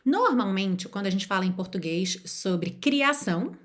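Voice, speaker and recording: A woman from Rio de Janeiro, teaching an online video lesson and talking straight to the viewer. She slows right down to model example phrases and repeat them. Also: medium pitch, animated, a bit bright. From Rio de Janeiro, Brazil. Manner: encouraging, speaks straight to the viewer. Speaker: language teacher.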